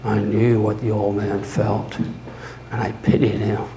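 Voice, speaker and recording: A man voicing a mad, obsessive murderer confessing his crime in a dramatic gothic horror reading, halting and theatrical, moving between breathy whispers and loud outbursts. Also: on the low side, level tone. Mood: brooding, haunted. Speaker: storyteller. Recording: roomy live recording with faint noise.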